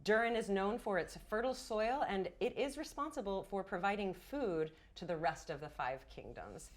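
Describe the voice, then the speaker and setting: A woman's voice: mid-range voice, animated, normal speed. A woman game master narrating a fantasy tabletop roleplaying game in a playful, theatrical storytelling manner. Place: indoor studio set.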